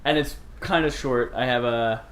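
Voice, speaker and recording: A man's voice: medium pitch, moderate pace, fairly bright tone. A man hosting a podcast and chatting casually about video games. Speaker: podcast host. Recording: light background noise.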